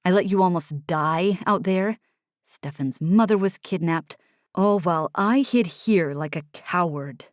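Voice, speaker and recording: A woman voicing a young male soldier with a low, subdued voice, frustrated and self-blaming in an audiobook. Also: measured pace, no room sound, studio quality, lightly acted. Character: young male soldier, guilt-ridden and restless.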